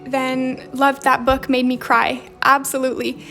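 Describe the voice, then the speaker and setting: A woman's voice: high voice, completely flat delivery, a little slow, vocal fry, a bit bright. A young woman with a slight Romanian accent chats casually while she hosts a journaling livestream. She reads viewer comments aloud and answers them. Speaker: livestream host. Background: faint background music.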